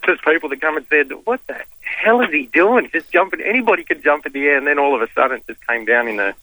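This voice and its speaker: A man's voice: fairly high pitch, animated, fast, bright, forceful tone, dry recording, rough recording. An Australian man calling a radio show, chatting casually and ramblingly over the phone. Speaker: phone-in caller. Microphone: telephone.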